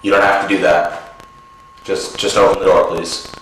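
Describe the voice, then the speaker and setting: A man's voice: low voice, moderate pace, slight background noise. A man playing a grumpy, weary guy in a naturalistic comedy sketch. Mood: exasperated. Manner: exasperated, flat pleading. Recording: very echoey room, distant mic.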